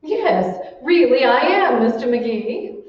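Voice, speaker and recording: A woman's voice: high voice, expressive, drawn-out words, slight background noise, full character voice. A woman playing an affected, haughty society matron of about fifty in 1940s-style radio comedy, prim and pompous. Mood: prim, coy. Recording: echoey hall, distant mic, dull sound.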